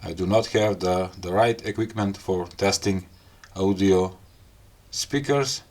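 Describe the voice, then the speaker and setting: Slow, low-voiced man with non-native English accent. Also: a little mellow. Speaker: gadget review host. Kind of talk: casual hands-on unboxing and product demo talk.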